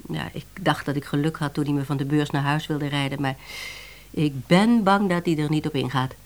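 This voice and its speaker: An actress playing a brisk, businesslike woman publishing editor in a Dutch radio play, questioning and suspicious. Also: deep voice.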